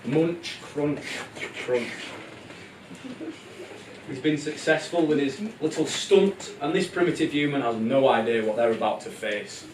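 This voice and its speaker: A man's voice: mid-range voice, measured pace, background noise. A man narrating a live puppet show in an irreverent, informal, ad-libbing comedic storytelling style. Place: live show in a room, music behind. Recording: roomy, distant live capture with loud music.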